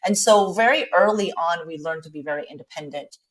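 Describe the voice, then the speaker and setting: Fields: Sex: woman. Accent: American. Tone: low, chatty. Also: fairly quick, low-quality recording. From Taiwan. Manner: candid, chatty, frequent fillers and restarts. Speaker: guest entrepreneur. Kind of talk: casual interview chat.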